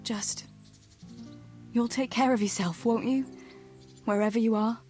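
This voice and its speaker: An actress playing a tender, gently teasing young village woman in a medieval video game, soft-spoken and earnest. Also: fairly high pitch, steady tone, a little slow, soft background music, natural voice. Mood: tender, worried. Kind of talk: naturalistic video game dialogue acting.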